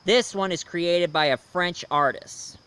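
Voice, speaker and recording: A man's voice: high-pitched, unhurried, fairly bright tone, clean tone. A man hosting a review, talking casually to his viewers with some dramatic emphasis. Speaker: online reviewer and host.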